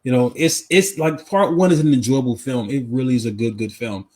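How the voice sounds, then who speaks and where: A man's voice: medium pitch, a little fast, darkish tone. A man co-hosting a podcast in casual, slangy conversation, often repeating phrases for emphasis. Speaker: podcast co-host. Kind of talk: casual movie chat.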